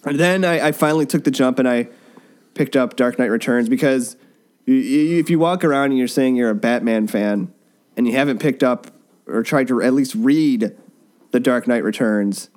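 A man's voice: medium pitch, moderate pace, a little mellow. Joking man in casual podcast chat. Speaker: podcast host. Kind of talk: casual chat about comic books. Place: basement.

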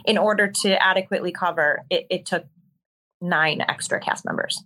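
A woman who performs in musical theater, speaking as an interview guest, telling stories in a candid, animated, conversational way. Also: on the low side, normal speed, poor audio.

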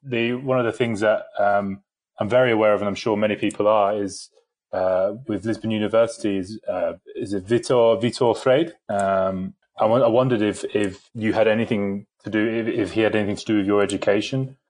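A man's voice: on the low side, fairly even intonation, fairly quick, darkish tone. A man hosting a football analysis podcast, introducing his guest and asking loosely phrased, polite questions with some fillers. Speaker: podcast host. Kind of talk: podcast interviewing.

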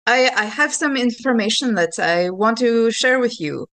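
A woman playing an earnest, careful informant in improvised tabletop role-play, speaking haltingly. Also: fairly high pitch, expressive, a bit bright. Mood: earnest, hesitant. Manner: hesitant, halting.

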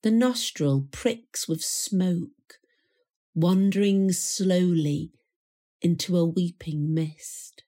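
A woman reciting a poem in a measured, solemn manner with deliberate pauses. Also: on the low side, warm tone. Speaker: poetry reader. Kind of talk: poetry recital.

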